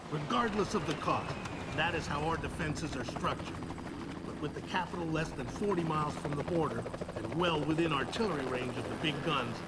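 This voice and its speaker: An actor playing a grim, hawkish American military commander giving an authoritative formal briefing in a video game. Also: male voice, a little high, close to an everyday voice. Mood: grave.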